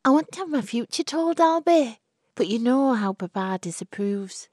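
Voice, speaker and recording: Woman putting on a high-pitched, wilful young lady. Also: expressive, moderate pace, darkish tone, no room sound, studio quality. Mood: coaxing. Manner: imperious, pouting.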